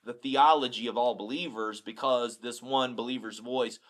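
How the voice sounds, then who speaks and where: A man's voice: mid-range voice, fairly even intonation, normal speed, a bit bright. A middle-aged man who is a pastor, talking candidly and bluntly in a casual podcast chat, often repeating words as he starts a thought. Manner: candid, blunt, self-deprecating.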